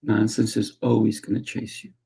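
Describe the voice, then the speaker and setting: Gravelly, calm martial arts teacher. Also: male voice, lowish voice, moderate pace. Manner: calm, soft guiding delivery. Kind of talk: conversational interview talk.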